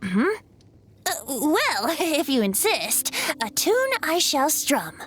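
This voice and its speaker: A woman's voice: high-pitched, big pitch swings, slow pace, a bit bright, a little hiss or hum, heavily acted. A woman voicing a playful young male bard who makes grand poetic speeches in a video game. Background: game music.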